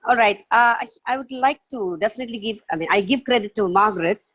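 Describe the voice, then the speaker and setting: A woman's voice: a little high, fast talker, a bit bright. A woman storyteller whose first language is Tamil, speaking earnestly and enthusiastically on a video call. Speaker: storyteller, participant. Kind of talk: casual remarks on a video call.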